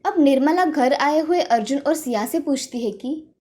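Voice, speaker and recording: A woman's voice: high voice, normal speed, a little mellow. A woman storyteller reading scripted narration for a moral tale.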